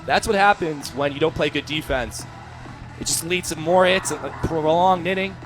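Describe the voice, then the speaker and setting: A man's voice: fairly high pitch, moderate pace, over music. Emphatic American man giving baseball analysis. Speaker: color commentator. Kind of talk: live baseball analysis commentary.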